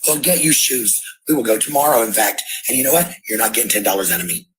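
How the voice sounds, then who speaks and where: A woman with a husky voice, a parent, scolding and sarcastic in a heated domestic argument. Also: rumbling low, animated, quick pace, a little hiss or hum, rough recording.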